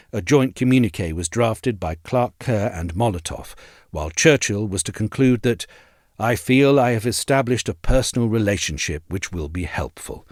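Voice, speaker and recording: A man narrating a scripted audio essay in a measured, articulate and formal way. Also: medium pitch, dry recording, studio quality.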